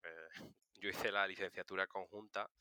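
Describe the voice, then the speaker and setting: A man in his thirties from Seville with a very bright, forceful voice, clean and crisp in a dry sound. He talks casually as an interview guest, telling stories and explaining things. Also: low voice, steady tone, moderate pace, no echo. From Seville, Spain. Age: 30s. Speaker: interview guest, political science communicator. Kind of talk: casual conversational storytelling.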